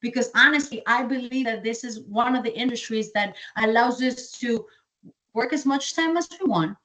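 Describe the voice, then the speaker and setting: A woman's voice: on the high side, moderate pace, poor audio. Colombian-accented woman leading sales training. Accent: Colombian Spanish. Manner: energetic, repeats key points, asks and answers own questions. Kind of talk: training presentation.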